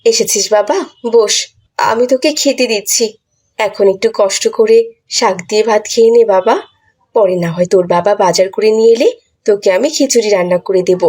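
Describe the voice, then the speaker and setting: A woman playing a caring, fretful village mother in a cartoon, husky and fussing in the way she talks. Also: medium pitch, normal speed, darkish tone, close to an everyday voice. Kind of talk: cartoon voice acting.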